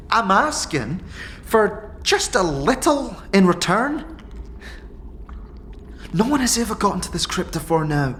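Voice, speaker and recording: A man's voice: high-pitched, fairly bright tone, a little hiss or hum, heavily acted. A man voicing a brash, impatient young female tiefling rogue in a fantasy audio drama, with streetwise slang and a pushy, coaxing manner.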